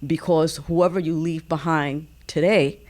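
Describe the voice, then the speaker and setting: A woman giving a reflective comment as an audience member, with halting, deliberate phrasing. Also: low voice, fairly even intonation, darkish tone.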